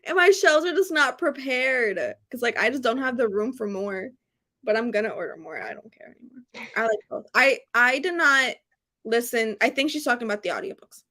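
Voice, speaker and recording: Bright, high-voiced woman chatting excitedly. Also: brisk. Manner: emphatic, gushing, repeats herself for emphasis. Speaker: host of a book readalong live stream. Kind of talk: casual excited chat.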